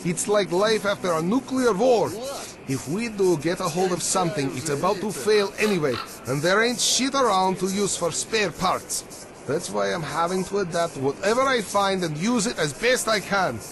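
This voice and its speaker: Animated, high-pitched gruff repairman. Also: normal speed, crowd noise, low-quality recording. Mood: grumbling. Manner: colloquial, swearing, grumbling. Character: gruff, griping male repairman in a post-apocalyptic camp. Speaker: mechanic character. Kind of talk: video game character dialogue. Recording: music behind the voice.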